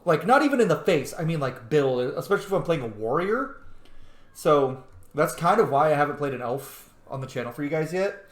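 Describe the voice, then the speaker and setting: A man's voice: fairly high pitch, big pitch swings, brisk, roomy sound. A man hosting a gaming channel, giving casual, chatty and opinionated let's-play commentary. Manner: opinionated, chatty fan enthusiasm.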